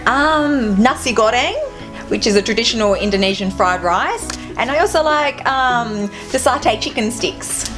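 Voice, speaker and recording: A woman teacher with a slightly high voice answers interview questions in a relaxed, conversational way. She slows down to coach pronunciation patiently. Music runs clearly underneath the recording. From Australia. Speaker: teacher and anti-racism contact officer. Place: school.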